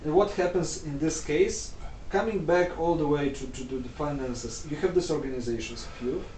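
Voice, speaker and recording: A man from Moldova whose first language is Russian, talking in a casual conversation with many halting restarts and repeated words. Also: fairly high pitch, darkish tone, reverberant, recorded from a distance, slight background noise, rough recording.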